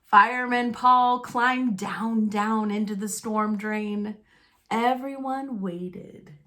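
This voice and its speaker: A woman reading a children's storybook aloud expressively and deliberately, with dramatic pauses. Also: medium pitch, fairly even intonation, a bit bright. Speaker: storybook reader.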